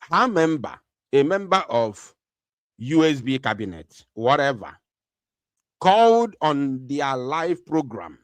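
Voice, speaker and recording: A man's voice: a little high, no room sound. Nigerian guy ranting to his viewers, keeps repeating himself, lots of uhs, dry close mic. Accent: Nigerian English. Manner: emphatic, repeats phrases, frequent filler hesitations. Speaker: online channel host. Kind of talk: commentary monologue to viewers.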